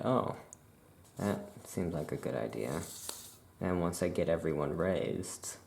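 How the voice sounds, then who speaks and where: Very low, slow, creaky soft-spoken ASMR shopkeeper. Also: slight background noise. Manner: casual, hesitant, drawn-out musing. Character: laid-back witch shopkeeper chatting with a customer. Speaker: ASMR performer role-playing a witch shopkeeper.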